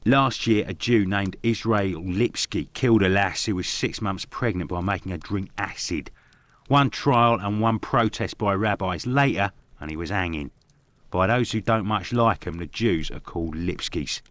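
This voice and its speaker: A Victorian barkeeper in a mystery game. He has a deep voice and a working-class London accent, talking bluntly and with gossipy relish in a dry recording. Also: normal speed, a bit bright, no room sound. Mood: gossipy, scornful. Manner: blunt, colloquial. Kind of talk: video game character dialogue.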